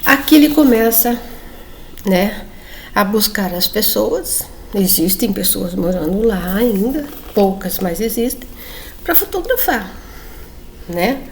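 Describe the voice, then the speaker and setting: A woman's voice: medium pitch, lively intonation, slow, a little hiss or hum. A woman presenting a comic book to her viewers in a casual, chatty way, often checking in with them. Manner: chatty, addresses viewers, frequent tag questions. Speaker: book reviewer. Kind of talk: casual presentation reading comic excerpts aloud.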